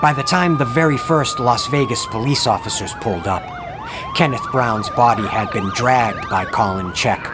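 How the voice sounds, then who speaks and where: A man narrating a scripted horror story in a dramatic, deliberate way. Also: medium pitch, background noise. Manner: dramatic, ornate, deliberate phrasing. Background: dramatic music bed.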